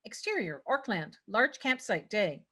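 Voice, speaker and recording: A woman with a medium-pitched voice reads scene headings and action lines. It is scripted narration for a table read. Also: very animated, a little slow, bright, forceful tone, husky. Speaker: narrator reading stage directions.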